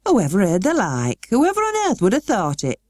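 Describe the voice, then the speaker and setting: A woman voicing an astonished older housekeeper who exclaims in a broad Yorkshire accent, pitched well above her reading voice. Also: big pitch swings, takes it slowly, no echo. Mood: amazed.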